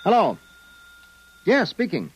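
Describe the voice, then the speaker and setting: An actor playing a wisecracking, hard-boiled private detective in a 1950s radio drama, with sardonic tough-guy talk and a husky voice. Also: sits high, wildly swooping pitch, takes it slowly, no room sound, lo-fi sound, heavily acted. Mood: businesslike. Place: radio studio.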